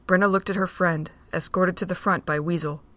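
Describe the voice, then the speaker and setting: A woman narrates a fan-made audio drama with a steady, plain delivery. Her voice sits low, recorded dry and close with no room around it. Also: a little fast, dry recording.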